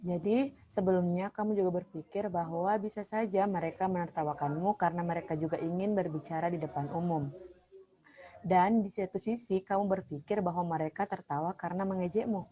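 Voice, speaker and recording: Calm low-voiced woman counselor. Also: a little fast. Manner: calm, gently probing. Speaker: counselor in a role-play. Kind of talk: scripted counseling role-play. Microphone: online call. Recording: dull lo-fi online call with faint noise.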